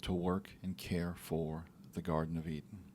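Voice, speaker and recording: A man co-hosting a podcast, talking in a relaxed, reflective way about faith and daily life, sometimes acting out little quotes. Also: sits low, moderate pace, slight background noise. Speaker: podcast co-host. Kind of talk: casual faith talk.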